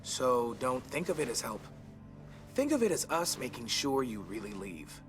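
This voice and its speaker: A man's voice: medium pitch, very animated, moderate pace, lightly acted. Casting: man as stubborn teen boy, curt and defensive, naturalistic game acting, faint music behind. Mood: cold, firm.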